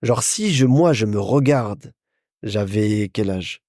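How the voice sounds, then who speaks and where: A man's voice: lowish voice, animated, slow pace, mellow, no room sound, clean studio sound. A young man hosting a casual business podcast, talking straight to the listener with lots of repetition and restarts. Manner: chatty, addresses listener directly, repeats himself. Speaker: podcast host. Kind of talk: casual business advice talk.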